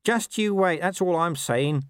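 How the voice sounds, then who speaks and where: A man voicing a cheeky, servile low-ranking watchman in an audiobook, with a wheedling, over-polite manner. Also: measured pace, smooth, no echo, studio quality. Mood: sarcastic, loud. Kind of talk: audiobook character voice.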